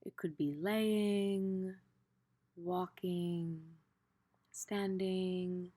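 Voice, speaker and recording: Slow-paced woman guiding a meditation. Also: on the low side, fairly even intonation, warm tone. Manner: slow, pausing mid-phrase, informal and tentative. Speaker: meditation teacher. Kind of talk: gentle guided meditation.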